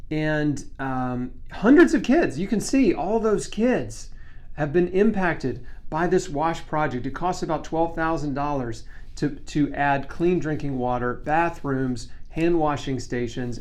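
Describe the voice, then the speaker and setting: Higher-pitched man, casual and earnest. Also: unhurried. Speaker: vlogger making a charity appeal. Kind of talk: casual talk to camera, fundraising pitch.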